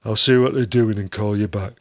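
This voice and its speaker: A man's voice: on the low side, steady tone, brisk, voice pushed down, natural voice. A man voicing a blunt, casual band manager with a Northern English accent and an offhand manner, in an audiobook. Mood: breezy.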